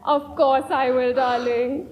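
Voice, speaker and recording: A woman's voice: high voice, steady tone, slow, highs cut off, a crowd in the background. A woman playing an imperious, fanciful young society lady in a stage comedy of manners, declaiming with affected, grand emphasis. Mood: coy, commanding. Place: indoor stage performance. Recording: roomy stage recording with faint noise.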